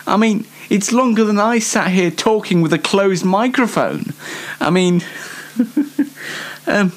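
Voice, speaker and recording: A man's voice: sits high, animated, takes it slowly. A British man hosting an unscripted comic news show in a rambling, self-mocking way. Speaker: host of a comedy news show.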